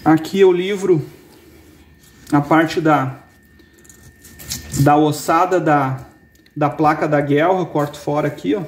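A man in his forties, from Brazil, hosting a fishing channel and calmly explaining how to fillet a fish, step by step, in an indoor kitchen. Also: on the high side, slow pace, pauses often, a little hiss or hum. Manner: calm step-by-step explaining, pointing things out. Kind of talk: cooking demonstration. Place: indoor kitchen with brick and wood walls.

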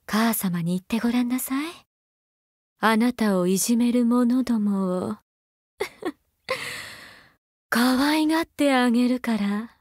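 High-voiced, doting, seductive mother figure. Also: no echo, clean studio sound. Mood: doting, coaxing. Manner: coaxing, breathy-whispering at times, archaic feminine phrasing. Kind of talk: Japanese mobile game character voice lines.

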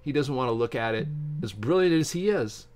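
A middle-aged man speaks at an average pitch. He hosts an informal commentary, moving between halting read-aloud passages and his own opinionated asides. He often restarts phrases and breaks off to explain what he thinks. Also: expressive, a little mellow. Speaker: YouTube science channel host. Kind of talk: informal commentary while reading aloud from a book. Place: small home office with bookshelves, indoors.

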